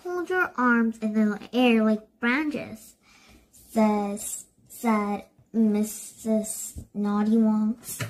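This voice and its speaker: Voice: medium pitch, drawn-out words, rough recording. A child reading a storybook aloud, word by word, with stumbles and self-corrections.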